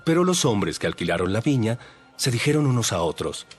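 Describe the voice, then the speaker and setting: An actor playing a calm, wise teacher who tells parables in a measured way. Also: male voice, lowish voice, husky. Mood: teaching. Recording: voice over light background music.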